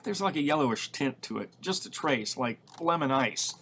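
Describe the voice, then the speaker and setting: Audiobook role: male reader as guileless, wisecracking man on the run, middle register, quick to protest. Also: medium pitch, lively intonation, a bit bright, slight background noise. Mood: quibbling.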